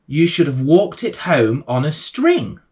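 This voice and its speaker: A man's voice: fairly high pitch, very animated, a little slow, darkish tone, voice pushed up. A man voicing a scolding, exasperated mother in a children's storybook tale. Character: scolding mother of a lazy boy.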